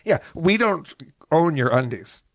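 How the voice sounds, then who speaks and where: A man's voice: a little high, expressive, measured pace, gravelly, dry recording. A man co-hosting a show, improvising his way playfully through a conversational comedic sponsor read. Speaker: show co-host reading sponsor ad.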